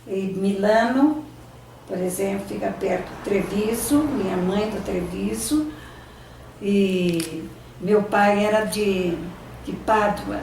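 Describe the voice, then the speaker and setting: Slow older woman recalling the past. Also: mid-range voice, vocal fry, darkish tone, slight background noise. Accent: Brazilian. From Brazil, São Paulo state. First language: Italian. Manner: halting, searching for words, many restarts. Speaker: interviewee. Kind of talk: oral history reminiscing. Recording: echoey room, distant mic, faint ambience.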